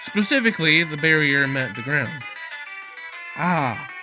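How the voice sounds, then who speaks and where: A man with a fairly high voice streams a story game. He reads the narration aloud and reacts in exclamatory, slangy asides. Music plays clearly behind his voice. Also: expressive, a little slow. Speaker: let's play streamer. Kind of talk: visual novel let's play with read-aloud narration and reactions. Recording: prominent background music.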